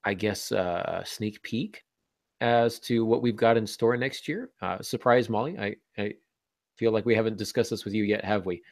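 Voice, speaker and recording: A man's voice: on the low side, normal speed, creaky, no echo, studio quality. A man hosting a podcast in a casual, chatty way, sounding congested and a little under the weather. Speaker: podcast host.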